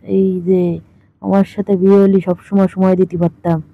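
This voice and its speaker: A woman's voice: medium pitch, little pitch movement, moderate pace, no echo. A woman in a casual, teasing, colloquial phone chat. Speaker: caller in a staged phone conversation. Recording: muffled lo-fi phone call recording.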